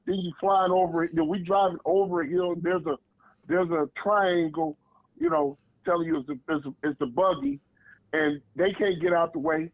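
A hoarse, gravelly man with a somewhat high voice tells a story with emphasis. His voice comes through a tinny, phone-like line with no room sound. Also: normal speed, no echo. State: losing his voice. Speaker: podcast co-host. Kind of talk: casual chat.

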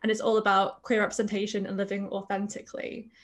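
A woman's voice: mid-range voice, monotone, quick pace. A woman hosting an interview, asking questions in an encouraging way and often restarting her sentences. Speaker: podcast host.

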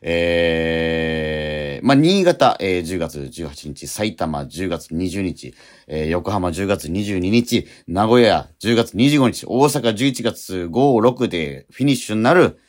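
A man's voice: sits low, expressive, slow pace. A man hosting a radio show, talking casually yet politely and earnestly as he wraps up.

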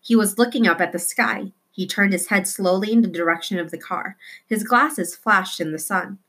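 A woman narrating a children's novel in an expressive read-aloud style. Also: medium pitch, moderate pace.

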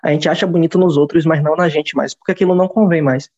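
A man's voice: fairly high pitch, fairly even intonation, quick pace, darkish tone. A young man in his twenties with a Brazilian accent, musing in casual podcast chat, rambling and restarting as he goes. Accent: Brazilian Portuguese. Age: 20s. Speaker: podcast host. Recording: dull lo-fi sound.

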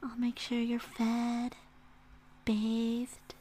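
Woman acting a slow, whispery yandere wolf girl. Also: a little high, completely flat delivery, slight background noise. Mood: possessive, coaxing. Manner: breathy whispering mixed with soft speech, teasing, giggly. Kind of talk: ASMR roleplay, intimate address to listener.

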